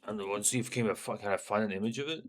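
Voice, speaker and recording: A man's voice: fairly low pitch, racing, a little hiss or hum. Blunt, casual young man streaming. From Syria. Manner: blunt, swearing, loose, repeats "right?". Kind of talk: casual livestream talk.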